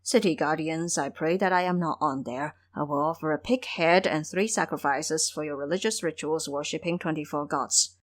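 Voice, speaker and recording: A woman's voice: deep voice, moderate pace, few pauses, voice pushed down. A woman voicing a foolish male pig-demon monk in an audio drama, blustering and boastful, pleading when scared. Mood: fearful pleading.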